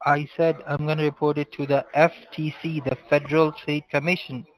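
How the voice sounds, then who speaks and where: A man's voice: fairly high pitch, flat delivery, fairly quick, low-quality recording. A man working as a phone agent, explaining procedures formally and insistently in call-center style. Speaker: phone scammer posing as support agent.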